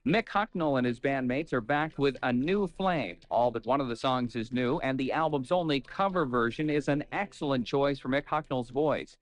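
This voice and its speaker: A man's voice: medium pitch, normal speed, few pauses. A man reading scripted entertainment news on the radio, with chatty asides.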